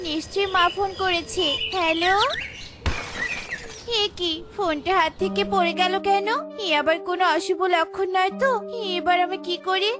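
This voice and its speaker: A woman's voice: extremely high pitch, steady tone, moderate pace, over music. A woman playing an anxious young mother bird in a children's cartoon, pleading and worried. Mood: puzzled, worried.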